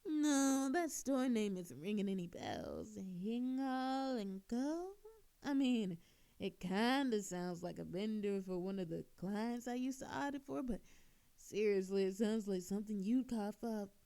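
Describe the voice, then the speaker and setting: A woman's voice: mid-range voice, lively intonation, a little slow, dark tone. A woman playing an obnoxiously flirtatious, sarcastic female thief in a scripted audio roleplay, teasing coyly and theatrically. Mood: mock innocent, sarcastic.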